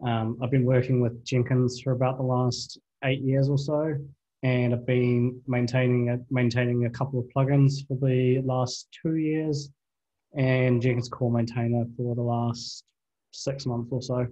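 A young man presents a software topic in an even, flat tone, relaxed, with a few restarts. His voice comes through dull, lo-fi video call audio from an indoor room. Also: medium pitch, unhurried. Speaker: conference presenter. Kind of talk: technical presentation.

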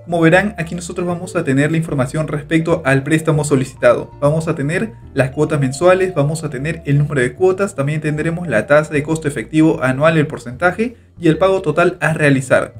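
A man's voice: on the high side, fairly quick, hardly any pauses, soft background music. Upbeat man guiding a tutorial. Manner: steady instructional narration, repeats click steps. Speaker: tutorial host. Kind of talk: screen-recorded step-by-step tutorial. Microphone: voice-over over screen recording.

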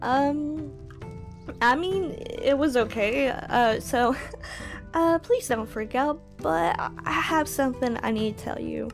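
A woman hosting a game roleplay, improvising casually in a halting, apologetic, rambling way. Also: high-pitched, gravelly, faint music behind. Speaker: gaming roleplay host.

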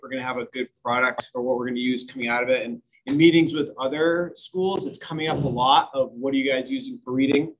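A man's voice: medium pitch, fairly even intonation, quick pace, few pauses, poor audio. A man, a school administrator, speaking plainly at a board meeting with loose, filler-heavy phrasing. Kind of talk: meeting remarks.

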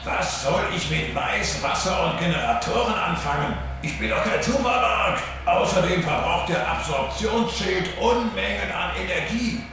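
Defiant pirate captain for a space radio play: grumbling, sarcastic, fairly high male voice over music. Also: lively intonation, moderate pace, vocal fry, hardly any pauses, sharp tone, heavily acted. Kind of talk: German audio drama acting. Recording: very echoey, music underneath.

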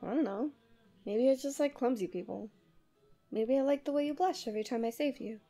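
Guardian angel female performer, ASMR audio roleplay. Lovestruck and protective, gently possessive; soft, dark-toned, intimate delivery. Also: sits high, fairly quick, faint music behind. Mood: gentle, coaxing. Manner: soft, soothing, often breathy half-whispers.

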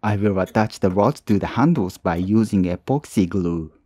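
A man narrating his own woodworking tutorial as a calm voice-over, with plain, slightly non-native phrasing. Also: low-pitched, normal speed, breathy edge, no echo. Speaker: woodworking channel host.

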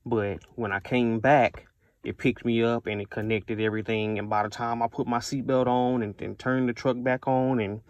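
A man's voice: mid-range voice, darkish tone. An American man giving a casual, unscripted product review in a conversational way, repeating himself for emphasis.

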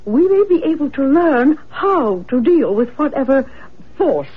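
An actress playing a sensitive, solemn spirit medium in a theatrical vintage radio drama style. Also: female voice, sits high, sing-song, unhurried. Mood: gently assured. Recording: muffled, noisy old radio broadcast.

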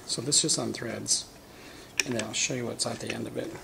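Casual shop demonstrator, thirties, gravelly voice, lapel mic, noisy workshop background. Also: male voice, medium pitch, steady tone, fairly quick. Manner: casual, talks while working with his hands. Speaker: welding equipment demonstrator. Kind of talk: hands-on product demonstration. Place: welding shop corner with curtain backdrop.

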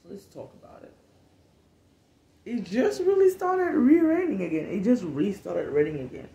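A woman's voice: medium pitch, very animated, moderate pace, warm tone, dull audio. A woman in her late twenties, raised in Cameroon, speaks English as her second language in a laid-back podcast monologue. She is candid and swears freely. The phone recording picks up a roomy echo from the space around her. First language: not English. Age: 20s. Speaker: podcast host. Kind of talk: casual monologue. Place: home room, seated at a table.

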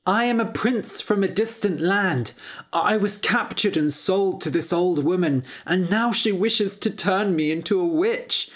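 A woman's voice: lowish voice, measured pace. A woman voicing a timid, sensitive young prince in a storybook tale, with a hesitant and emotional manner. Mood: sad, fearful.

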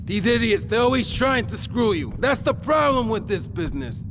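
High-pitched, ranting, paranoid drug lord. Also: male voice, big pitch swings, moderate pace, noisy background, heavily acted. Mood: scheming, commanding. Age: middle-aged. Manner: explosive, theatrical ranting. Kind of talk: video game cutscene acting.

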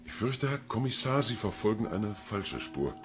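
Indignant suspect, radio crime drama, fairly low pitch, animated melody, faint music underneath. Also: male voice, moderate pace. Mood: indignant, guarded. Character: defensive, indignant male police suspect.

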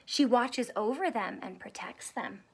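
A woman in her thirties reading a children's book aloud in an expressive, teacherly way, asking questions and drawing out key words. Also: a little high, unhurried, creaky, slightly rough, low-quality recording. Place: small indoor room with couch and stuffed toys.

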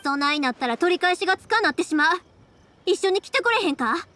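A woman's voice: extremely high pitch, animated, fast talker, pushed and bright, slight background noise, exaggerated voice. A woman voicing a spirited, caring big-sisterly young woman in an anime game, with a Kansai accent. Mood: determined.

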